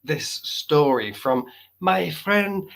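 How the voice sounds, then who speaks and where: A man hosts a casual live stream, joking and exclaiming as he reads viewer comments. His voice is gravelly and fading as he loses it, and he sits a little high with a lively, swooping pitch. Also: extremely slow. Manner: playful and jokey, repeating exclamations. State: losing his voice.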